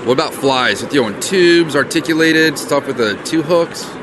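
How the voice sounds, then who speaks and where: A man's voice: on the high side, normal speed. A man interviewing a guest casually, chatty and joking, with rambling questions. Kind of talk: casual interview at an outdoor event. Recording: noisy outdoor street recording.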